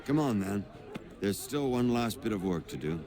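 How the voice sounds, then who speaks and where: An actor playing a genial, self-assured colonial gentleman in a video game, wry and articulate, with ambient noise behind. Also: fairly low pitch, lively intonation, moderate pace, close to an everyday voice. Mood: amused, assured. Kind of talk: video game cutscene acting.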